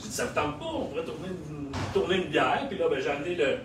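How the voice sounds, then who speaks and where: A man's voice: on the high side, very animated, fast. Hesitant Québécois man at an event. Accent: Québécois French. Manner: hesitant, lots of fillers. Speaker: event organizer and filmmaker. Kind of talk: speech at a local launch event. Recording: echoey room, heard from a distance, noisy.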